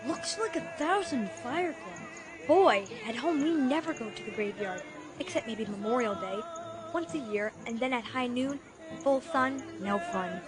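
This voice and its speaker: Woman doing a high voiced earnest kid, boy full of wonder, radio play, music behind. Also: lively intonation, normal speed, natural voice. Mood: amused wonder. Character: wide-eyed, thoughtful Midwestern schoolboy on adventure. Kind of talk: radio drama acting.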